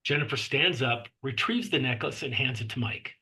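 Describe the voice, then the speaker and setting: A man reading stage directions as scripted narration, with a husky voice. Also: medium pitch, expressive, moderate pace, bright, forceful tone.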